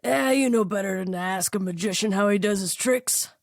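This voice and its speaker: A woman's voice: mid-range voice, fairly quick, vocal fry, dry recording, clean studio sound. A woman voicing a cheeky, wheedling male poltergeist in an audio story, with a sly, slangy drawl. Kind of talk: fan fiction audio story character voice.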